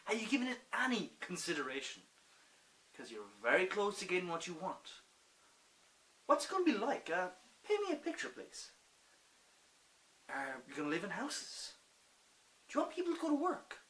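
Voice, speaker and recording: An actor playing an insistent, sarcastic peacemaker in a dramatic monologue, full of rhetorical questions. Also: male voice, high-pitched, huge pitch swings, moderate pace, pauses often, fairly bright tone, exaggerated voice, low-quality recording. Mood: mocking, impassioned. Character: impassioned, pleading peacemaker challenging a rebel with sarcasm.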